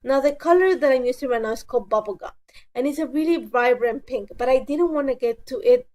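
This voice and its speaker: Woman, stuffy with a cold, mellow higher voice, casual craft voice-over, chatty and self-correcting. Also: a little fast. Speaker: scrapbooking crafter.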